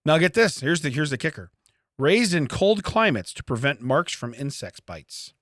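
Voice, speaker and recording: Bright, animated man bantering casually. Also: on the high side, normal speed, dry recording, clean studio sound. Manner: rambling, repeats phrases for comic emphasis. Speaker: comedy podcast co-host. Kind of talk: casual comedic chat, reading out odd facts and riffing.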